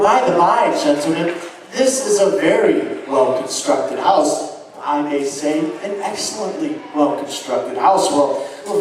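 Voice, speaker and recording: Heard at a distance in a heavily reverberant space, a crazed murderer confessing in a Gothic stage monologue. The voice sits high for a man. The delivery is melodramatic and gloating, halting and repeating words as the confession unfolds. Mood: boastful bravado. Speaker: stage actor performing a dramatic reading. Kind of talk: theatrical Gothic horror monologue. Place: live show venue at an amusement park. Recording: echoey venue, recorded from far off.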